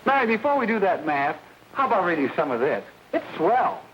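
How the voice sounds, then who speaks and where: An actor playing a wholesome, bashful high school boy in love, with boyish gee-whiz manners, in 1930s film style. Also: very animated, a little fast, lo-fi sound. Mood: eager, polite. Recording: hissy 1930s film soundtrack.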